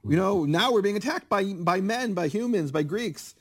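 Middle-aged guy on an interview, kinda high voice, explains stuff animatedly. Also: normal speed, breathy edge. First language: English. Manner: animated, acts out quoted speakers. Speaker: guest scholar of biblical Hebrew. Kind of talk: conversational interview, lecturing.

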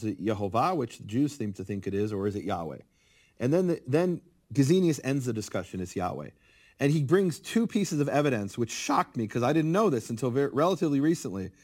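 A middle-aged man speaking as a guest, explaining biblical history in a lively, conversational way and acting out quotes. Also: mid-range voice. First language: English. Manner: animated, acts out quoted speakers. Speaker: guest scholar of biblical Hebrew. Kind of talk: conversational interview, lecturing.

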